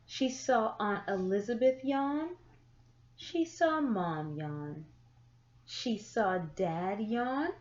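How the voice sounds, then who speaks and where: Lady reading a kids bedtime book, real slow n expressive, bit muffled and echoey. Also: mid-range voice. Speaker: storyteller reading a picture book aloud. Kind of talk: children's story read-aloud. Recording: echoey room, slightly muffled sound.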